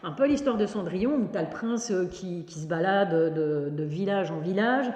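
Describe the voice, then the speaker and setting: A woman giving an informal tarot reading straight to the viewer, halting and repeating herself as she goes. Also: fairly low pitch, expressive, a little mellow. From Belgium. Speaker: tarot reader and online host. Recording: echoey, reverberant room.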